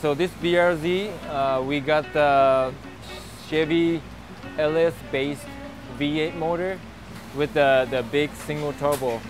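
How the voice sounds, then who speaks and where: A man in his thirties, a professional drift driver, giving a relaxed and halting outdoor interview on a lapel mic at a racetrack paddock. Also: on the high side, over music. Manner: relaxed, halting, many fillers. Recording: noisy outdoor racetrack recording.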